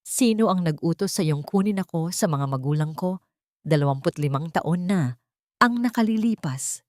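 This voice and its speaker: A woman's voice: on the low side, expressive, normal speed, a little mellow, no room sound, close to an everyday voice. A woman with a Filipino accent voicing a proud, bitter, defiant wronged wife in an audiobook drama, taunting and intense. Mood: anxious, angry.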